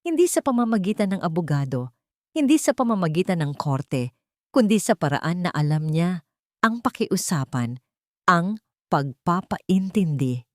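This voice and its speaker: A woman's voice: fairly low pitch, animated, a little slow, no room sound, studio quality. A woman narrating a dramatic story in a scripted storytelling style.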